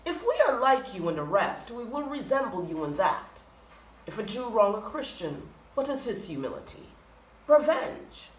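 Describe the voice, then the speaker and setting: Woman acting a bitter, impassioned vengeful merchant, swooping pitch. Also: big pitch swings, normal speed, close to an everyday voice. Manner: emphatic rhetorical questions, hammered lists of grievances. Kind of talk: Shakespearean dramatic monologue. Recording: echoey room with faint noise.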